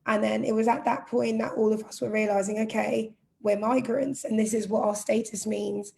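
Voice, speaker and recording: Young woman from Zimbabwe, charity outreach worker; fairly high, gravelly voice with little pitch movement, earnest. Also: little pitch movement, normal speed, mellow. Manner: earnest, reflective. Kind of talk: panel discussion.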